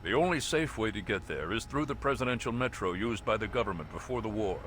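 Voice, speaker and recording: Composed military officer, noisy backdrop. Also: male voice, fairly low pitch, animated, fairly bright tone. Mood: businesslike, urgent. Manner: measured, authoritative, formal. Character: stern, composed male military officer briefing a soldier. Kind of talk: scripted mission briefing.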